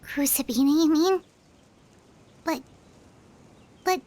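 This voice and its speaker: A woman voicing a tiny childlike fairy companion in a video game, earnest and curious, with a squeaky cartoonish voice. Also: takes it slowly, warm tone. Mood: startled, hesitant. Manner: earnest, talks of self in third person. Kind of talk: scripted game dialogue, cartoonish.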